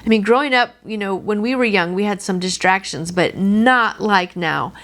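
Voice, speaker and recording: A woman's voice: medium pitch, moderate pace, fairly bright tone. A middle-aged woman hosting a devotional, reading and then encouraging the listener in an earnest, personal, informal way. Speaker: devotional host and reader.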